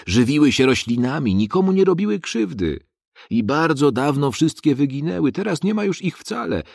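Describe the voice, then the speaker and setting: A man's voice: a little high, sing-song, normal speed, gravelly, no room sound, clean studio sound, pitched above the performer's own voice, full character voice. A man doing an earnest nature teacher. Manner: earnest, explaining loudly. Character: earnest male nature teacher, lecturing a crowd.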